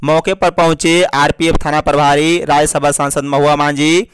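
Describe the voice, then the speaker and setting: A man reading a scripted news bulletin in a formal, measured manner as a news reader. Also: a little high, little pitch movement, fairly bright tone, clean tone, no room sound.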